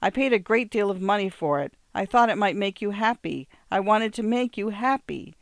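A tired, exasperated husband answers curtly and wearily, as the reader plays him. The voice is smooth and clear, recorded dry and close. It is a storybook reading. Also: male voice, sits high, animated, moderate pace, dry recording, voice pushed up. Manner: weary, curt, irritated.

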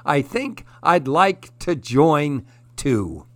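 Man putting on a sly, high-pitched storybook fox. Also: big pitch swings, very slow, fairly bright tone, no room sound, full character voice. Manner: sly, taunting. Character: sly greedy male fox, taunting storybook villain. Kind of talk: children's storybook character voice.

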